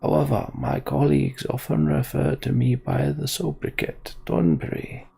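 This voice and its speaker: A man voicing a solemn old scholar creature from a fantasy game, speaking in slow, archaic, formal phrases. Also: mid-range voice, flat delivery, creaky, dark tone, breathy edge, full character voice. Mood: solemn, courtly. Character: courtly old male Tonberry scholar, solemn ghostly creature. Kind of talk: voiced role-play of game dialogue.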